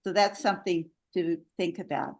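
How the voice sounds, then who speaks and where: A woman's voice: lowish voice, normal speed. A woman English coach hosting an online webinar, encouraging participants with praise over a video call.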